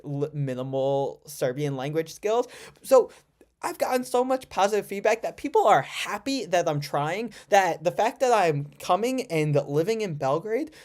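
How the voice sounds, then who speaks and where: A man of about twenty with an American accent, chatting informally on a livestream and often correcting himself as he rambles. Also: a little high. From United States. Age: around 20. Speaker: livestream host, student. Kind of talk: casual livestream chat answering viewer questions.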